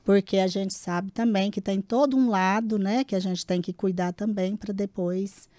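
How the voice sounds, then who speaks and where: A Brazilian woman hosts a conversational interview podcast. Her voice is dark and relaxed, with very little room sound around it. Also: medium pitch, normal speed, dry recording, studio quality. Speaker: podcast host interviewing a guest.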